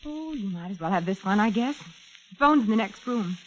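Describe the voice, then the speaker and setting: A woman's voice: mid-range voice, expressive, fairly quick, slight background noise, natural voice. An actress playing a frightened, framed young woman in a 1940s radio mystery. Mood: eager, brisk. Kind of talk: 1940s radio drama acting. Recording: muffled, band-limited old radio recording with music.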